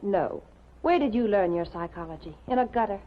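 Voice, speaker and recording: An American actress playing an icy, sharp-tongued young proofreader in a 1950s television drama, haughty, clipped and lecturing. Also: female voice, mid-range voice, expressive, a little fast, lightly acted. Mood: icy disdain. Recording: muffled, noisy 1950s television soundtrack.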